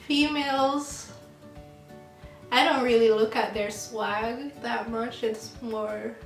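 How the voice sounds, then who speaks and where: A young woman from Jamaica co-hosting a casual chat, slow and laughing often. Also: fairly high pitch, steady tone, gravelly. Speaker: channel co-host. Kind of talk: casual get-to-know-me chat. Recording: roomy sound, mic at a distance, music behind.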